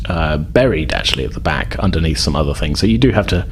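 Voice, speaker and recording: A man narrates a tabletop roleplaying game as its game master in a very low voice. He improvises conversationally, hedging as he goes, with slight background noise. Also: animated, fast talker.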